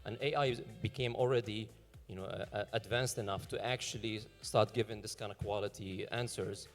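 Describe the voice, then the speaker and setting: A man pitching his startup and answering questions on stage, talking fast with many fillers and restarts. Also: fairly even intonation, big-room sound, faint music behind.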